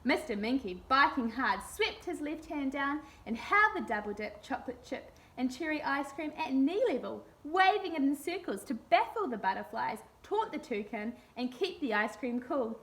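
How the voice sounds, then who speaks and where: A woman's voice: sits high, sing-song, normal speed, hardly any pauses, a bit bright. A woman reading a children's picture book aloud expressively.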